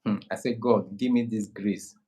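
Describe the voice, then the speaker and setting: Unhurried Nigerian man, low voice. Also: fairly even intonation, warm tone, slightly rough. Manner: slow, unhurried, many restarts. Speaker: guest creator. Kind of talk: casual conversation.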